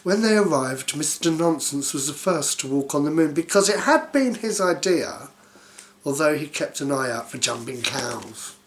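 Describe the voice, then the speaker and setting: A woman's voice: low voice, lively intonation, moderate pace. A woman reading a children's story aloud in a silly, theatrical way, dropping in playful asides.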